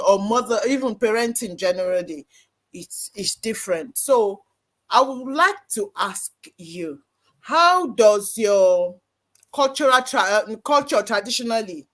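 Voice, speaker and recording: A church live-stream host guiding a panel discussion. The voice sits at a middle pitch, and the delivery is conversational and explanatory, full of "you know" asides. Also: a bit bright. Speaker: host, moderator.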